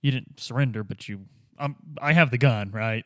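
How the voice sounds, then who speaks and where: A man's voice: medium pitch, moderate pace, a bit bright, natural voice. Man playing a dry-sounding, casual, probing investigator. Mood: challenging. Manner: informal, pressing, echoing answers back as questions. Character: probing, casual investigator questioning an old deserter.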